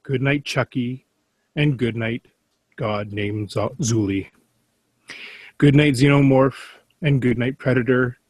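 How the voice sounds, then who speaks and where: Guy reading a spoof bedtime book out loud, slow, dry close sound. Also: medium pitch, no room sound, poor audio. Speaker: podcast panelist. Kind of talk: reading a parody bedtime story aloud.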